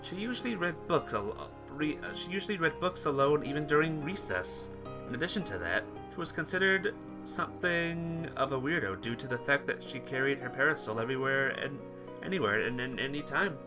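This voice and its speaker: A man's voice: a little high, animated, fairly quick, music in the background. A man reading visual novel text aloud during a playthrough, sometimes stumbling and rereading phrases. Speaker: video game player reading aloud.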